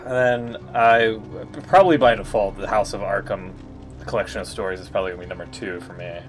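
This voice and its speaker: A man's voice: fairly low pitch, quick pace. A man giving casual live-stream commentary on a game in a blunt, rambling way. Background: faint music.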